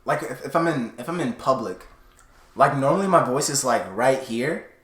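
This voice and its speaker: A man's voice: mid-range voice, lively intonation, normal speed, fairly bright tone. Young man, podcast co-host, casual rambling, filler-heavy, roomy echo. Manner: rambling, many fillers, shifts his voice. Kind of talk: casual late-night chat.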